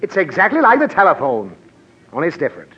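A man's voice: on the high side, huge pitch swings, fast talker, pushed and bright, full character voice. A blustering, exasperated father. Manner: blustering, lecturing, easily flustered. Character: exasperated middle-aged father. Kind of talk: 1940s radio comedy acting. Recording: dull old radio broadcast, faint hiss.

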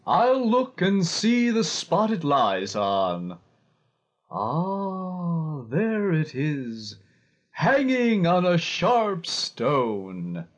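Very slow, high-voiced boastful lookout. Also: male voice, wildly swooping pitch, lo-fi sound, heavily acted. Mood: eager. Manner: slow, wondering, drawn-out delivery. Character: eager, boastful keen-eyed lookout servant.

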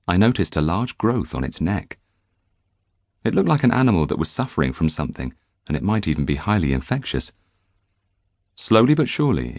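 Deep male voice, very lively intonation, scripted audiobook narration, expressive storyteller, dry close studio sound. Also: dry recording. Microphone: close studio microphone.

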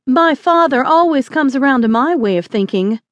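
A woman's voice: high voice, lively intonation, normal speed, no room sound, lo-fi sound, voice pushed up. A woman voicing a headstrong young schoolmarm in audiobook dialogue, haughty, defiant and indignant.

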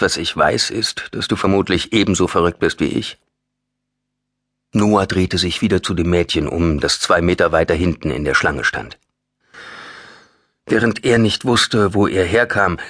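A man's voice: sits low, a bit bright, slightly rough, no room sound, clean studio sound. A man with a standard German accent reading a thriller audiobook as dramatic scripted narration.

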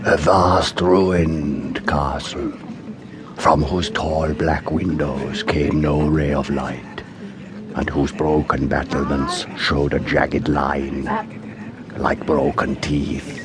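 An actor playing an ancient, menacing vampire count in a gothic radio drama, sinister and portentous. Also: male voice, a bass voice, level tone, takes it slowly, a crowd in the background. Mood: sinister relish.

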